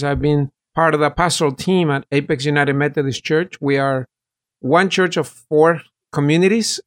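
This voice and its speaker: A middle-aged man from El Salvador, a pastor, explaining his work in detail and earnestly as a guest on an interview podcast. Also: on the high side.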